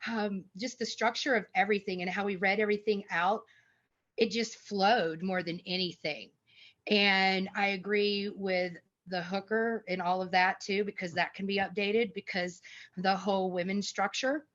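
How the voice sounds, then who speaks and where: The audio is dull and lo-fi. A woman with a mid-pitched voice gives casual feedback in a group discussion, sounding loose, agreeable and a little tentative. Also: fairly even intonation, moderate pace, fairly bright tone. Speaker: participant giving feedback.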